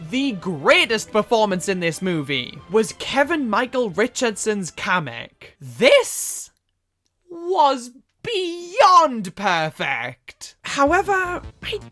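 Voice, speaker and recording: A woman hosting a scripted, opinionated film review, with expressive, emphatic delivery and sarcastic asides. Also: fairly high pitch, slow, dry recording, faint music behind. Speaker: video essay host.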